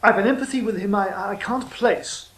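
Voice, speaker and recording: A man's voice: high voice, moderate pace, fairly bright tone, roomy sound. A man playing an earnest, hesitant young poet. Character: earnest, dreamy young male royal poet.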